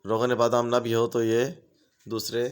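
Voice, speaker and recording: A man's voice: mid-range voice, completely flat delivery, moderate pace, smooth, faint music behind. A man, steadily reading out a list of home remedy tips as a channel host.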